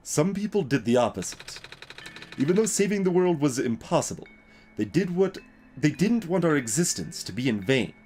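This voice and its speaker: A man's voice: on the high side, darkish tone, a little hiss or hum. A man voicing an earnest, hesitant young male video game character who speaks in careful, formal words. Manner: halting, careful, formal wording. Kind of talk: voiced reading of video game dialogue.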